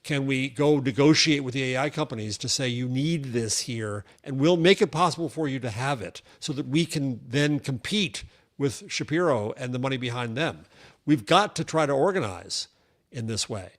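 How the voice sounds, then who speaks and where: A man's voice: mid-range voice, expressive, moderate pace. Thoughtful older man, media critic guest. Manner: thoughtful, argumentative, stumbles on restarts. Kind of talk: casual interview chat.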